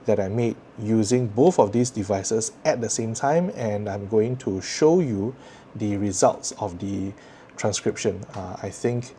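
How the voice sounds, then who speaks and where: A man with a Singaporean accent speaks in a low, mellow voice as he presents a tech review. His delivery is measured and articulate. Some background noise is audible behind him. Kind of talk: scripted video review to viewers.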